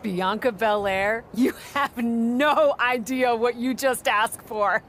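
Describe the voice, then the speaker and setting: A woman's voice: on the high side, slow, a bit bright. Cocky playful lady wrestler, pun-loving, faint hiss in the back. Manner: playful and confident. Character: confident, punning female wrestling champion.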